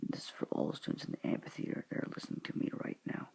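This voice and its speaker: A teenage boy talking to his viewers in a soft, hushed, halting whisper, earnest and sorrowful in tone. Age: teens. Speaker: student vlogger. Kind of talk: whispered somber address to viewers.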